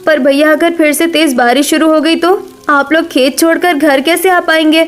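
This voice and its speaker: A high-pitched young daughter-in-law from a family cartoon, voiced by a woman. She sounds dutiful and deferential, worrying politely over her family. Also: steady tone, moderate pace, close to an everyday voice. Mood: worried. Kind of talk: Hindi animated family story acting.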